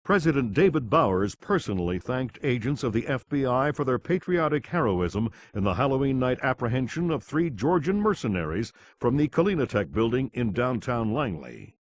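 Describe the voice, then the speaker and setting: An actor playing a sober television news anchor, reading a scripted crisis report for a video game. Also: male voice, mid-range voice, big pitch swings, normal speed, few pauses, dry recording, close to an everyday voice. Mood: sober, urgent.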